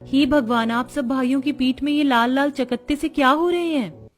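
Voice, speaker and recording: A woman's voice: sits high, moderate pace, lightly acted. A woman playing poor, dutiful and resourceful village housewives in a cartoon story. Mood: concerned. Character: poor village women, dutiful resourceful daughters-in-law and scolding mother-in-law.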